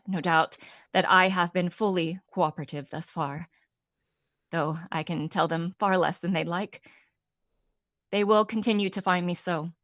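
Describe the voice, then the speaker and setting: An actress playing a haunted woman who gives a measured, formal, halting confession to a killing in a gothic drama monologue. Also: mid-range voice, no room sound, studio quality, close to an everyday voice. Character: eerily calm woman confessing to a bloody killing.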